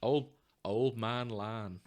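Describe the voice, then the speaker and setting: A man with a mid-pitched voice co-hosts a casual comedy podcast. He banters with a teasing edge and puts on a mock-solemn delivery when reading things out. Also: fairly even intonation, very slow, darkish tone. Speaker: podcast co-host. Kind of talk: casual comedic chat with fan fiction readings.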